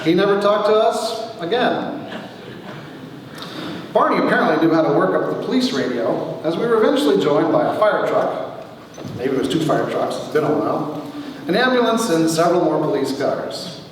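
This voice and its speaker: Echoey, animated man telling a wry story. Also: a little high. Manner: wry, with dramatic pauses. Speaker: author reading his own story. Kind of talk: dramatic reading of a humorous memoir to an audience. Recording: echoey hall from far off, faint noise.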